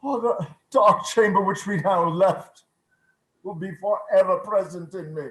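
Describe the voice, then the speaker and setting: A woman's voice: mid-range voice, a little slow, a little hiss or hum, natural voice. A woman playing a frightened, repentant old miser in a radio play, pleading dramatically. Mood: fearful pleading. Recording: roomy, echoing video-call audio.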